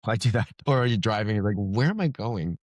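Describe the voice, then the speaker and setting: Guy being interviewed, author guest, kinda low voice, casual chat, dry recording. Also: lively intonation, brisk, no room sound.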